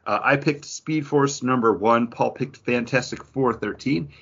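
A man's voice: medium pitch, unhurried, creaky, fairly bright tone, slightly rough, lo-fi sound. A man hosting a podcast and introducing topics in a casual, conversational way. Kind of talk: casual chat.

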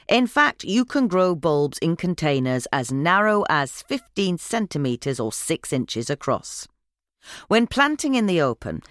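Narrator, lively intonation, dry close sound. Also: medium pitch, no room sound. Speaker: narrator reading a magazine article aloud. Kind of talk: scripted narration of a gardening article.

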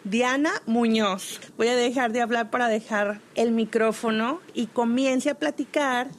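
A woman hosting a podcast, introducing her guest in an admiring, somewhat halting way, often restarting her phrases. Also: fairly high pitch, steady tone, a little hiss or hum. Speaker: podcast host.

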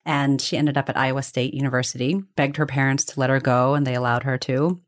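A woman's voice: very deep voice, level tone, a little fast. Reflective woman telling family stories. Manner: reflective, discursive, hedging with self-corrections. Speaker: interview guest. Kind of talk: conversational personal storytelling.

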